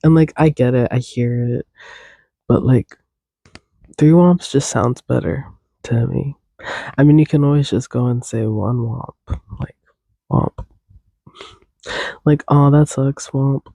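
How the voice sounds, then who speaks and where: A man's voice: mid-range voice, slow, gravelly, pauses often, mellow, dry recording. A young man hosting a solo podcast, rambling casually and a little congested, drifting off on tangents and humming tunes. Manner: casual, tangent-prone, hums and sings tunes. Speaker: podcast host.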